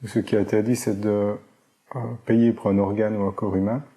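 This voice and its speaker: A man's voice: lowish voice, flat delivery, a little fast, warm tone. A man answering interview questions, hesitant, with many fillers and restarts. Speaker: interviewee, father.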